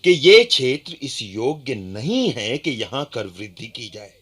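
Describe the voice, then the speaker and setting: An actor playing an earnest Indian freedom-era traveller in a Hindi radio play, telling of uprisings in an animated, emphatic way. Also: male voice, medium pitch, unhurried, husky, heavily acted. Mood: admiring. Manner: animated, emphatic, declamatory when quoting. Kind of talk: Hindi radio play dialogue, dramatic storytelling.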